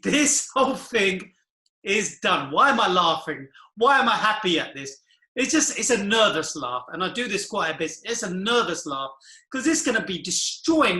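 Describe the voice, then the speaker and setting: A man's voice: sits high, bright, forceful tone, reverberant. A man in his forties giving an earnest, rambling talk about money and the economy, asking rhetorical questions and laughing nervously at times. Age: 40s. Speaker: finance commentator. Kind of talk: casual monologue to camera.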